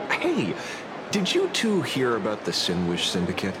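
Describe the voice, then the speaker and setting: A man's voice: on the low side, wildly swooping pitch, unhurried, noisy background. A man playing a wry, theatrical rebel who schemes against dull routine in a video game. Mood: casual probing. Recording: loud music behind.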